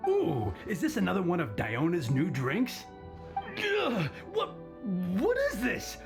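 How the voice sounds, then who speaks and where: Dramatic old barfly for an anime game, gravelly, wildly swinging pitch, opinionated and over-the-top. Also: male voice, wildly swooping pitch, slow pace, music in the background, heavily acted. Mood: disgusted. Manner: theatrical, exaggerated. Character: dramatic old tavern drinker, opinionated and effusive.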